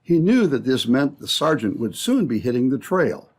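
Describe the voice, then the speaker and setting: A man's voice: mid-range voice, big pitch swings, normal speed, darkish tone. A man narrating an old-time radio adventure from a script in storytelling style.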